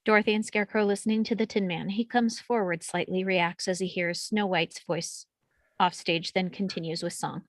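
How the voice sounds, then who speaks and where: A woman's voice: fairly low pitch, fairly even intonation, moderate pace, dry recording. A woman reading out action and camera directions from a film script as scripted narration at a table read. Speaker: reader of stage directions.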